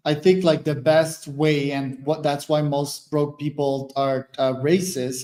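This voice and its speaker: A man's voice: a little high, a little mellow. A young man hosting a podcast, chatting casually in a blunt, opinionated way with many fillers. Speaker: podcast host. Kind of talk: casual conversation.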